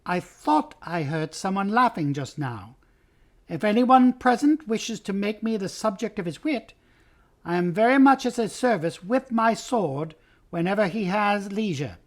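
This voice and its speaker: A man voicing a small, valiant talking mouse, shrill and ceremonious, proud and touchy about honor, in a storybook reading. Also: animated, unhurried. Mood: proud, courtly. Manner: formal, ceremonious.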